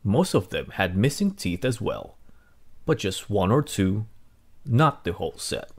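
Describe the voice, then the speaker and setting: Guy reading a kids story out loud, lowish voice, really animated and dramatic. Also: a little slow, breathy edge. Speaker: read-aloud host. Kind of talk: expressive storybook narration.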